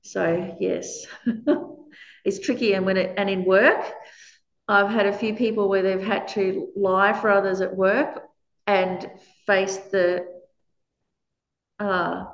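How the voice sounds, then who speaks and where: A woman with a loud voice, giving a frank Buddhist dharma talk to an audience and laughing often between points. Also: mid-range voice, fairly even intonation, slow pace, pauses often. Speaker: Buddhist teacher. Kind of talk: dharma talk answering questions. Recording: roomy, slightly dull recording.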